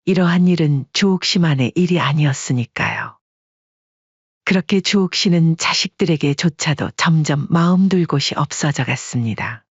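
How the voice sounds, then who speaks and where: A woman narrating a scripted audio story in a calm, measured way. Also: sits low, husky, dry recording, muffled recording.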